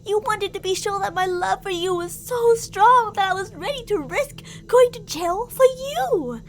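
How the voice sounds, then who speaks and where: A woman's voice: very high voice, lively intonation, a little slow, a little hiss or hum, heavily acted. A woman playing an obsessive, delusional stalker in an ASMR roleplay, theatrical and sweetly menacing, with jealous, volatile endearments and reproaches. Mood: frustrated, fervent.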